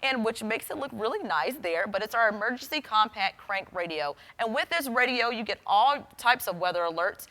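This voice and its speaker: Bright-voiced woman giving an instructional demo. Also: a little high, animated, normal speed, hardly any pauses. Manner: explanatory, addresses the viewer directly. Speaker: TV weather forecaster presenting a how-to demo. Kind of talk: instructional product demonstration to camera.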